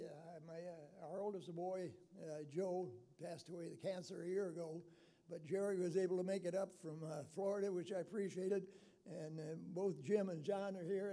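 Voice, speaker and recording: An elderly man with a raspy, rather high-pitched voice speaks at an award ceremony. His tone is mellow and soft-edged. He delivers an informal acceptance speech, plain-spoken and halting as he reminisces. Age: 80s. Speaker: retired coach, award inductee.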